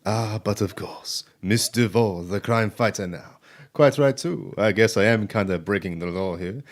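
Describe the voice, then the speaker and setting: An actor playing a roguish, cheeky elf thief in an audio drama, sardonic and theatrical in manner. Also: male voice, on the low side, normal speed, natural voice. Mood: playful, smug.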